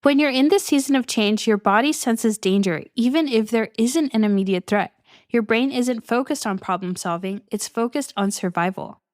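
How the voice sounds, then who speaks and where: A woman's voice: on the high side, moderate pace, darkish tone, dry recording, clean studio sound. A young adult woman hosting her own podcast as a coach, talking casually and upbeat, often breaking into little laughs. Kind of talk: solo podcast monologue.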